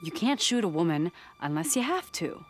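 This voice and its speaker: Hard-edged American woman survivor for a game: lowish voice, sarcastic, distrustful, faint noise behind. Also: very animated, normal speed. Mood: suspicious, angry. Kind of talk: naturalistic video game acting.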